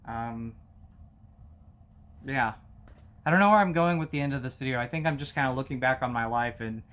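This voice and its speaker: A man in his thirties talking casually and from the heart to his channel's viewers, with a halting delivery. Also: mid-range voice, a bit bright. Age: 30s. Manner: halting, many fillers and restarts, heartfelt. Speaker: movie collector YouTuber. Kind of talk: casual personal vlog talk.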